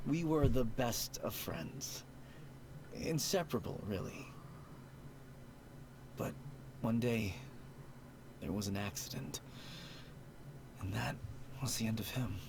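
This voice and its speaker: Wistful old man, noisy background. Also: lowish voice. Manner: halting, wistful. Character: troubled elderly man, wistful, mourning an old friend. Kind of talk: video game character acting.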